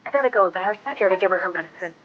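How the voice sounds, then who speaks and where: A woman's voice: mid-range voice, expressive, rapid-fire. An actress playing an anxious woman phoning with bad family news in an audio drama, through a telephone filter. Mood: hurried, exasperated. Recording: muffled telephone-line sound.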